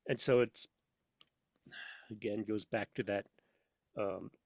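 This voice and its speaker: A man chatting casually as an interview guest, telling anecdotes in an animated way and acting out bits of dialogue. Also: fairly low pitch, takes it slowly, creaky. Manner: animated storyteller, acts out imagined dialogue. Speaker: podcast guest, sound designer for audio dramas. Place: inside a studio.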